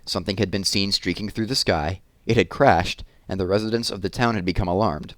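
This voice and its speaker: A man with a low voice reads a true story aloud for children. He narrates it as the host, and the sound is dry with very little room. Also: a little fast, dry recording.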